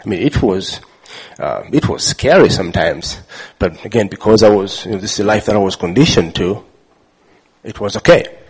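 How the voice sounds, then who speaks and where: A man from Uganda telling a personal story with many pauses, fillers and restarts. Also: lowish voice, expressive, slightly rough, poor audio. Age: adult father. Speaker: speaker sharing his testimony. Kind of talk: personal testimony talk.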